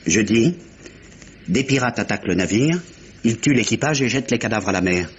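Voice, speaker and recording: An actor playing a laconic, dutiful police inspector in an old French radio mystery, speaking in deliberate, clipped phrases. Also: male voice, on the low side, expressive, close to an everyday voice. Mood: dogged, literal. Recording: muffled, lightly hissy old radio recording.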